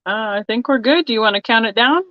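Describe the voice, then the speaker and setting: A soft-spoken woman hosting a live online sale, calling out bids and chatting with her guest. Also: a little high, fast, no echo. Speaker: host of a live glass sale. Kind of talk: live online auction, casual chat. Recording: dry, dull lo-fi sound.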